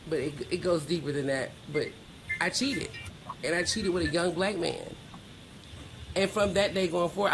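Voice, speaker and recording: A woman's voice: low-pitched, gravelly, slight background noise, poor audio. A middle-aged American woman telling personal stories candidly as an interview guest, with emphatic, colloquial delivery. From Georgia, United States.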